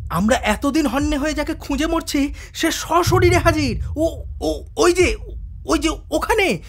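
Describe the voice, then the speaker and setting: An actor playing an excitable sailor on lookout in an audio drama, crying out in a strained voice. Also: male voice, very high voice, unhurried, gravelly, full character voice. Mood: excited, alarmed.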